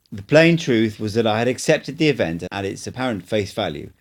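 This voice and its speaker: A man narrating a first-person audiobook as an amateur reader, with steady, earnest delivery. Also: on the low side.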